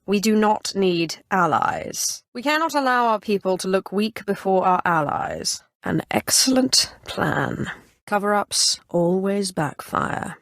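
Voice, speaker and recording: Imperious, menacing Sith sorceress. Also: female voice, mid-range voice, lively intonation, takes it slowly, vocal fry, a bit bright, no room sound, clean studio sound, exaggerated voice. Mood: cool calculation. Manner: imperious, cold, clipped, threatening. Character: haughty, menacing female Sith Inquisitor, ambitious former slave. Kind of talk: video game character dialogue.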